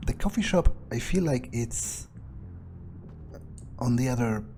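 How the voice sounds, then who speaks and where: A man's voice: medium pitch, animated, unhurried, warm tone, slight background noise. A man doing in-character game commentary, thinking aloud in a theatrical, self-assured, musing way. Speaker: gamer playing in character.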